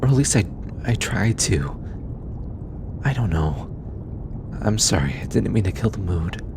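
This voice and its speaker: Man voicing an anxious alien scientist, low and halting. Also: animated. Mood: vulnerable, anxious. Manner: hesitant, halting, stammering, sighing. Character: anxious, earnest male alien scientist, self-critical and easily flustered. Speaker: voice actor. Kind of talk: scripted audio roleplay, intimate one-sided dialogue. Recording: clearly noisy, low rumbling background.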